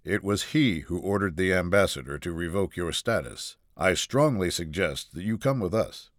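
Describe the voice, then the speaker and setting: A man's voice: low voice, lively intonation, unhurried, a bit bright, dry recording, clean studio sound. A man playing a composed Marine captain in an audiobook, speaking formally and firmly. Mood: calm, firm.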